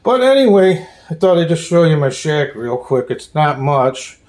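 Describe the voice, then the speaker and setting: A man hosting a casual off-the-cuff hobby tour at home, talking in a halting, plain-spoken, rambling way. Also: a little high, big pitch swings, darkish tone. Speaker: hobbyist host giving a radio gear tour. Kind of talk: casual off-the-cuff show-and-tell vlog. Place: small room at home.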